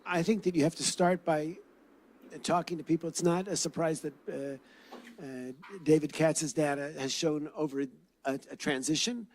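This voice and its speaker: Loud-voiced man on a panel. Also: a little high, fairly even intonation, normal speed, a little hiss or hum. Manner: loud, discursive, thinks aloud with fillers. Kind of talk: panel discussion answer. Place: symposium panel, indoors.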